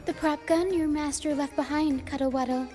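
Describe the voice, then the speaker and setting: An actress playing a gentle, earnest young stage performer in a video game, speaking in a measured, sincere way. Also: female voice, sits high, fairly even intonation, lightly acted. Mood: gentle, grateful. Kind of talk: game voice acting. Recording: music behind the voice. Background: music.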